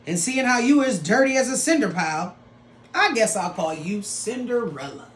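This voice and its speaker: A woman's voice: fairly high pitch, lively intonation, normal speed, close to an everyday voice. A woman voicing an evil, scornful foster mother from a storybook, bossy and colloquial, in an echoey room. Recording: roomy echo with some background noise.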